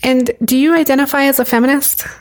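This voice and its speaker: A woman's voice: high-pitched, fairly even intonation, quick pace. Upbeat woman hosting a podcast. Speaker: podcast host. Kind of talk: interview and sponsor reads.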